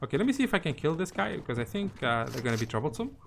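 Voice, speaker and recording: A man with a slightly high, animated voice hosts a gameplay walkthrough. He talks informally and hesitantly, repeating himself, with light noise and game ambience behind him. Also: rapid-fire, gravelly. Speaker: gaming video host. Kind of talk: casual gameplay guide commentary.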